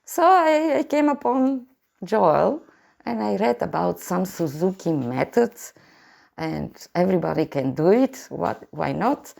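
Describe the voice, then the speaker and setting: A woman's voice: on the low side, sing-song, unhurried, creaky, a little mellow. A woman in her sixties or older from Bulgaria, with a Bulgarian accent, speaking casually in an interview as an adult music student. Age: 60s or older.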